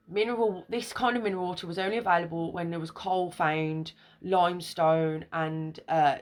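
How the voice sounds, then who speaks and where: A woman reading an old newspaper article aloud, stumbling over archaic words and place names, then commenting on it with excited emphasis. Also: fairly low pitch. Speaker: video creator.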